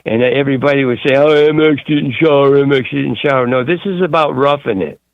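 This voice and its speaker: A man calling in to a talk radio show, telling a long rambling story in a gruff way. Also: medium pitch, fairly quick, dry recording, lo-fi sound. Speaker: caller.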